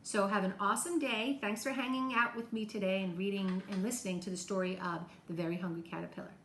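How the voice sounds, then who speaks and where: A woman's voice: medium pitch, steady tone, fast, fairly bright tone. A woman teacher talking to young children in a homemade lesson video, encouraging them with simple questions and explanations. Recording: echoey room, faint background noise.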